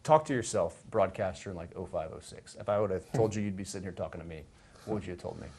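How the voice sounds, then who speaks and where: A man with a fairly low voice asks casual questions as a sports interviewer. Faint ambient noise sits behind him. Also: fast, darkish tone. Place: stadium broadcast booth.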